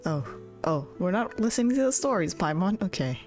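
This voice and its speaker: A woman's voice: on the low side, big pitch swings, brisk, a little mellow, soft background music. A woman streaming a game with casual, chatty, teasing commentary.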